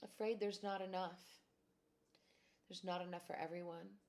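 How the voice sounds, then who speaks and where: A woman guiding a tapping exercise, calmly giving steps and phrases to repeat. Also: lowish voice, normal speed. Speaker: tapping practitioner.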